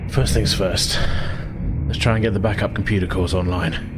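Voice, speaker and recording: A man playing a wry, steady starship engineering officer in a sci-fi audio drama. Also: sits low, moderate pace, over loud music, close to an everyday voice. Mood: steady, businesslike.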